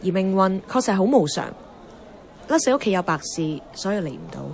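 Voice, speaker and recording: A woman's voice: mid-range voice, expressive, fairly quick, a little mellow, close to an everyday voice. An actress playing an outspoken young woman in a Hong Kong Cantonese radio drama, blunt and teasing, moving between dialogue and inner narration. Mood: reflective. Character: outspoken, headstrong young woman, a radio host chasing her dream. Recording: music under the voice.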